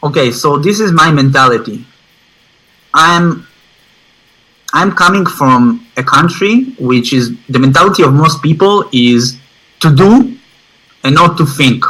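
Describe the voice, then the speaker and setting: A man with an Israeli accent talks as an interview guest, in non-native English. His voice is fairly high and bright, with a forceful edge. He speaks informally and leans on repeated phrases to drive points home. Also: expressive, a little slow, long pauses, low-quality recording. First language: not English, which is a third language. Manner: emphatic, repeats phrases for stress. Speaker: interview guest, online advertising student.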